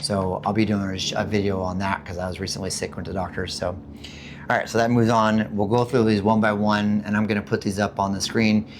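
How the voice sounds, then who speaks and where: A man from the United States with a hoarse voice after being sick, chatting casually and rambling about living costs, with many fillers and restarts. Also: fairly low pitch, level tone, brisk, noisy background. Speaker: vlogger. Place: home in the Philippines.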